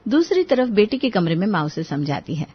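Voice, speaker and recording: Casting: woman narrator for a moral story, medium pitch, dull lo-fi recording. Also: very animated, fairly quick, breathy edge.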